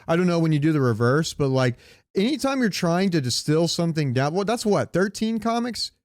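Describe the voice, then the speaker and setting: A man's voice: on the high side, sing-song, brisk, dry recording, clean studio sound. A man chatting casually as a podcast co-host in a rambling way, swearing now and then and asking questions. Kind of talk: casual chat about comics and movies.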